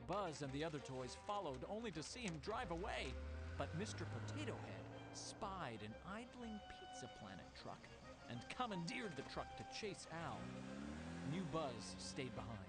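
A man's voice: a little high, big pitch swings, moderate pace. A man reading a children's story as a dramatic narrator. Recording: music under the voice. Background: loud music.

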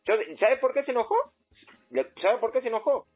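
A man playing an insistent, simple provincial customer with an Argentine accent, complaining in a halting, repetitive way on a prank call. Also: very high-pitched, animated, husky, heavily acted. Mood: aggrieved. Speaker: prank caller. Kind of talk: improvised phone prank. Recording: muffled phone line, faint music behind.

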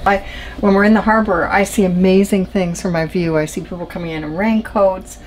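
A woman's voice: mid-range voice, fairly even intonation, a little fast, a little hiss or hum, rough recording. A woman hosting a sailing vlog, chatting casually about life aboard. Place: on a sailboat in a harbor.

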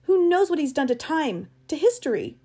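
A hard-bitten man who guides hunts through time, acted by a woman narrator, barking orders in a storybook reading. He sounds angry and accusing, pushed above the narrating voice and louder. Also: mid-range voice, normal speed, close to an everyday voice. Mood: furious.

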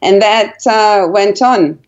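Slow, hesitant woman from Portugal. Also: mid-range voice, highs cut off. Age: 40s. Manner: hesitant, many fillers and restarts, laughs softly. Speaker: guest, brand founder. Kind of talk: casual interview.

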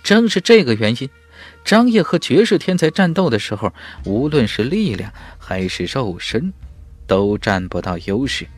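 A man narrating an audiobook in a dramatic, emphatic storytelling style with measured phrasing. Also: medium pitch, very animated, faint music behind.

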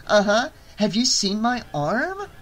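Man putting on a bright, chatty young woman, high-pitched. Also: sing-song, slow, full character voice. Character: cheerful dead young woman, breezy and petty.

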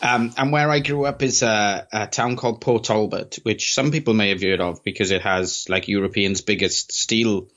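A man's voice: fairly low pitch, steady tone, normal speed, hardly any pauses, fairly bright tone. Chatty South Welsh man, rambling. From South Wales. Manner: rambling, self-deprecating, many restarts. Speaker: podcast guest. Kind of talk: casual interview chat. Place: small home office. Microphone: headset with studio mic on video call.

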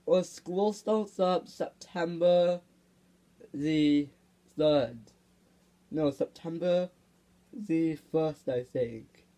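A laid-back teenage cartoon boy, acted out by a woman, with a lowish voice and a casual, matter-of-fact way of talking. It is an improvised play vlog. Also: very slow, long pauses, dark tone, poor audio. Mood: unsure.

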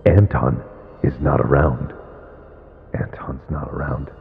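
A man's voice: extremely low pitch, steady tone, takes it slowly, creaky, long reverb tail, narrow, tinny audio, voice pushed down, full character voice. A man voicing a cold, impatient mother in a visual novel, curt in manner.

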